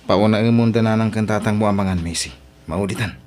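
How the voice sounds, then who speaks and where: A man's voice: on the low side, fast, a little mellow, lightly acted. A man acting in an Ilocano radio drama as a polite young boyfriend who is eager to please, with an Ilocano accent. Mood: deferential.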